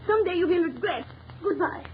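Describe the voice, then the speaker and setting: High-pitched frightened woman, tinny old radio. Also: animated. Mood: hurt, warning. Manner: dramatic, halting, emphatic. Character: frightened, insistent young woman who escaped a strangler. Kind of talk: old-time radio melodrama acting. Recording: tinny, narrow, hissy vintage radio recording.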